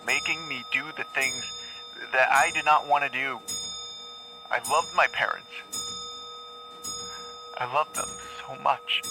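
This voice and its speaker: A man's voice: mid-range voice, natural voice, rough recording. An actor playing a troubled male patient in an audio drama, confused and haunted, stammering and sometimes dropping into a near-whisper. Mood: confused, insistent. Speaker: therapy patient.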